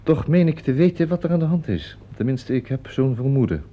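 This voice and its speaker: Man acting as veteran stage actor, gossipy, sardonic, very lively intonation, radio play, muffled recording. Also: mid-range voice, a little fast, lightly acted. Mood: indignant, confiding. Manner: theatrical, drawling, sardonic. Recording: dull lo-fi radio drama recording.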